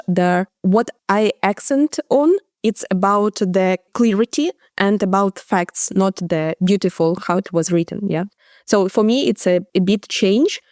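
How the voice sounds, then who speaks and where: A woman's voice: medium pitch, a little mellow, no echo, studio quality. A woman from Ukraine, a journalist guest, answering interview questions in careful, hesitant English with many fillers and non-native phrasing.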